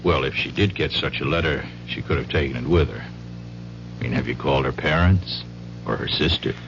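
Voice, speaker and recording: An actor playing a folksy police investigator in a radio drama, questioning people with prodding tags and murmurs. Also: male voice, very deep voice, moderate pace, creaky. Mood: probing, matter-of-fact. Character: folksy, probing male police investigator in a university town. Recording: dull, noisy old radio broadcast with hum.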